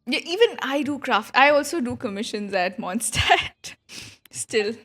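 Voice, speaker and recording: A woman's voice: a little high, expressive, unhurried, fairly bright tone. A young woman chatting casually on a livestream as she reacts to a game, gushing and emotional in an informal way. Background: faint game music.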